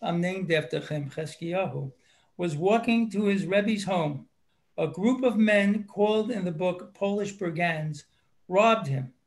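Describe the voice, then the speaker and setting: Gravelly, high-pitched man reading a review. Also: a little slow. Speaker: book reviewer. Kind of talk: reading a prepared book review.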